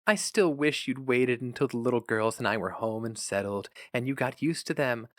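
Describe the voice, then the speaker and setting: A man voicing a worried young wife who speaks hesitantly, affectionately and with gentle pleading. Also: medium pitch, normal speed, dry recording, studio quality, close to an everyday voice.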